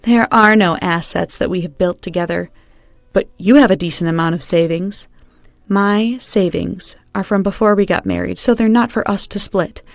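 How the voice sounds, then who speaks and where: A woman's voice: fairly low pitch, moderate pace, dry recording, natural voice. A woman voicing a firm, unforgiving wronged wife in a narrated story, stern and decisive.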